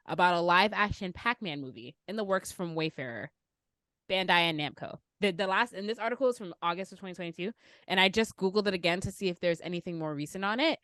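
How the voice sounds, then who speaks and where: A woman's voice: mid-range voice, fairly bright tone, dry recording. A woman hosting a casual panel chat, speaking informally and asking plenty of questions. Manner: conversational, informal, inquisitive. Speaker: podcast host.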